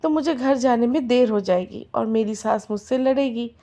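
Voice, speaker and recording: An anxious young daughter-in-law frets and asks questions in a devotional folk tale, as a hoarse woman storyteller puts her on. Her voice is a touch high and rough. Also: moderate pace, mellow, lightly acted.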